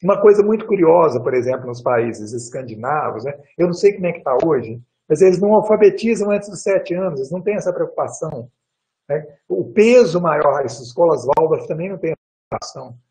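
A man's voice: on the high side, lively intonation, brisk, a little mellow, low-quality recording. An older man giving a talk over a video call, rambling with frequent tag questions and restarts. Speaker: guest lecturer. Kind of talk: relaxed lecture answering questions. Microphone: video call webcam.

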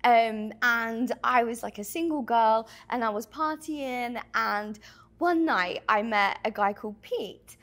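A young woman with a London accent, a guest in a studio interview, chatty and quick to giggle. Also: fairly high pitch, fairly bright tone. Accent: London English. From London, England. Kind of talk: casual interview answers. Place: small indoor TV studio set. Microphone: lapel.

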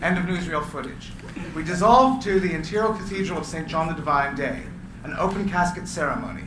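A man with a gravelly voice reading the stage directions aloud at a script reading into a stand mic. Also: a little high, a little fast. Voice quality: gravelly from recording work. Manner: clear, expressive reading. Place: meeting room with audience. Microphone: stand microphone. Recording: echoey room, background noise.

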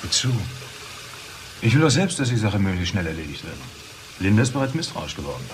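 An actor playing an agitated, abrasive suspect in a crime radio play, edgy and indignant. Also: male voice, lowish voice, big pitch swings, brisk, background noise. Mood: tense, secretive. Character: agitated, abrasive male suspect, secretly dealing.

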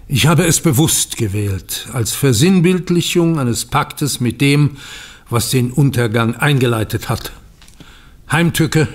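An actor playing an aggrieved, slyly vengeful older banker in an audio drama, speaking with sardonic, deliberate emphasis. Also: male voice, medium pitch. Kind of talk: German audio drama acting.

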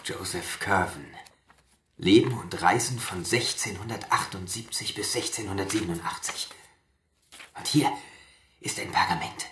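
An actor playing a secretive young scholar in a radio drama, reverently obsessed with his research, with a halting, hushed way of reading aloud. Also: sits low. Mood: excited, absorbed. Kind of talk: German radio drama acting. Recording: roomy echo, faint noise, clicks.

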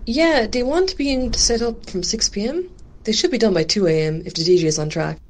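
A woman's voice: fairly high pitch, brisk, a little hiss or hum, natural voice. A woman playing a dutiful, chatty Irish village committee secretary in an amateur radio drama.

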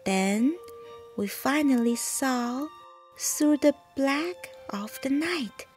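A woman with a fairly high voice reads a rhyming bedtime story. She goes very slowly and deliberately, giving each phrase expression, with faint music behind her. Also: animated. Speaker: mother reading a picture book aloud. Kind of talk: bedtime story read-aloud in rhyme.

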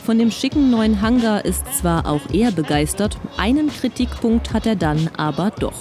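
A woman narrating a local television news report in a clear, even scripted voice. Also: medium pitch, normal speed. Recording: voice-over with music underneath.

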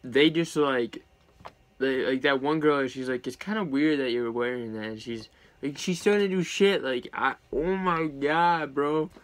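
A man's voice: medium pitch, unhurried. A young man giving a casual, slangy, profane reaction vlog, recorded at a desk in a small room. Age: young adult. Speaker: reaction video host. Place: small indoor room at home.

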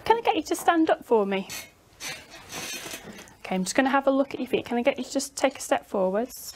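A woman's voice: high voice, very animated, fast, darkish tone. A young adult woman working as a clinician, talking a patient through a physical exam step by step. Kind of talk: instructional clinical exam talk. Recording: faint background noise.

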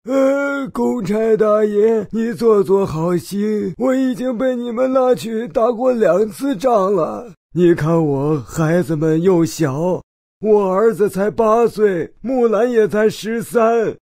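A man's voice: sits high, slow pace, warm tone, no room sound, studio quality, exaggerated voice. An actor voicing a weary, pleading peasant father in a cartoonish game. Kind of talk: cartoonish video game acting.

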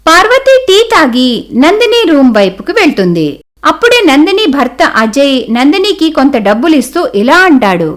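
A woman's voice: sits high, very animated, normal speed. A woman narrator reading a scripted moral story for a cartoon.

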